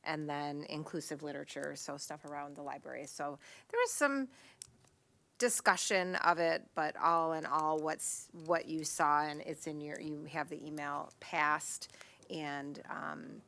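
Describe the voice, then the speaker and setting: Woman, low and gravelly, conversational report, rambling and self-correcting, board meeting. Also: fairly bright tone. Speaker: school board member reporting back. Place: school board meeting room.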